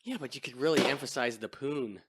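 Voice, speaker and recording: A man with a deep voice hosting a casual podcast chat, crude and self-deprecating. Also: fairly quick, background noise. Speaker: podcast host. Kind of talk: casual chat over beers.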